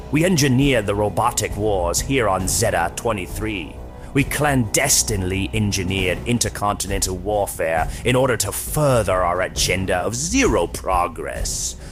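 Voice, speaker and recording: A man's voice: low-pitched, animated, moderate pace, over music. A man playing a nefarious, wizened space count in a radio serial, with grandiose, menacing theatrical delivery. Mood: grandiose, bitter. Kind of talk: comedic radio serial villain acting.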